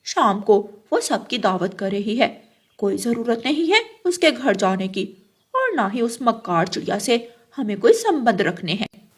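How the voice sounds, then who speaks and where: A woman's voice: a little high, sing-song, a little slow, breathy edge, exaggerated voice. A woman voicing a gullible, hurt male pigeon in a cartoon, speaking in an earnest, subdued way. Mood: hurt, resolute.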